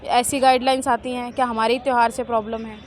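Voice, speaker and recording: A woman's voice: fairly high pitch, little pitch movement, fast. A woman reporter speaks. She sounds conversational as she puts questions to members of the public, out on a city street. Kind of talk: vox pop interviewing. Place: outdoors on an urban street.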